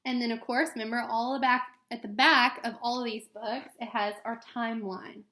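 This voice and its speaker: Woman, slightly high pitch, teacher reading to kids, expressive storytelling, echoey room. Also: normal speed. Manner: expressive, child-directed storytelling delivery. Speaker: elementary school teacher. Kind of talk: reading a children's picture book aloud with asides to students.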